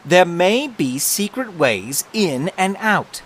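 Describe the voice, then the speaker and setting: An actor playing an earnest, excitable American magician and younger brother in a comic audio drama, polite and theatrical. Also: male voice, high-pitched, slow, full character voice.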